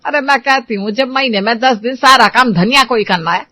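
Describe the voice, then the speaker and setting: A woman's voice: on the high side, fairly quick, pushed and bright, no echo, clean studio sound, pitched above the performer's own voice. Exasperated household mistress, acted by a woman. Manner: complaining, emphatic. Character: exasperated, bossy middle-class mistress of the household.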